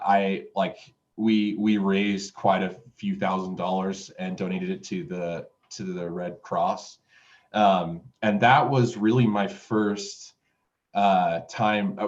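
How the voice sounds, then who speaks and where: A man in his twenties from Utah, a music producer telling his story in an interview, rambling with lots of fillers and restarts. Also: sits low. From Utah, USA. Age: 20s. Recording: dull, lo-fi sound.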